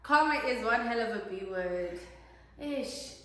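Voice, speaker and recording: Young South African woman host, expressive and chatty, higher voice, very echoey room. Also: a little slow. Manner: expressive, laughs often, mixes in isiXhosa words. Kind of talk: casual chat.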